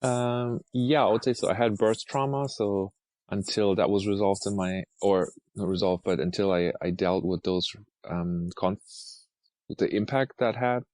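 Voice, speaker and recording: Low-voiced male artist from Germany, halting and searching for words, dry recording. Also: fairly even intonation, a little mellow, no echo. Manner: hesitant, many restarts and ums. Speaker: artist guest. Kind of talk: casual interview talk.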